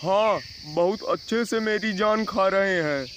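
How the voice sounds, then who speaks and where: A man's voice: high voice, unhurried, dull audio. A man voicing a troubled, emphatic father crow in a Hindi cartoon moral story. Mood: distressed pleading. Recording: clear noise of insects outdoors.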